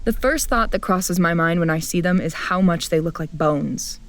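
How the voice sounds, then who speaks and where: A woman's voice: on the low side, moderate pace, lightly acted. A woman playing a wary, grumpy young traveler who narrates an audio drama in a blunt, wry way. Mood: wary, descriptive. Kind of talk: first-person audio drama narration and dialogue. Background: music.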